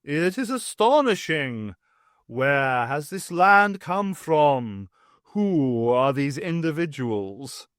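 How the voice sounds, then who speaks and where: A man's voice: fairly high pitch, very animated, takes it slowly, fairly bright tone, dry recording, studio quality, heavily acted. A man with an English accent voicing a large, jovial alien warlord who talks in a fruity, pompous way.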